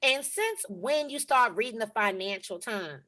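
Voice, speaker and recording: Brief: woman playing sassy teen granddaughter, high, lively, swooping delivery. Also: a little slow. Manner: sassy, exasperated scolding. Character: sassy nineteen-year-old granddaughter. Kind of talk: table read.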